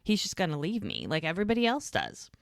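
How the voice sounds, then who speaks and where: A woman's voice: lowish voice, fast talker, vocal fry, no room sound, clean studio sound. A woman co-hosting a podcast, casually recapping a TV episode and acting out the characters' lines. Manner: animated retelling, acts out characters' lines. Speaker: podcast co-host. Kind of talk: casual rewatch recap chat.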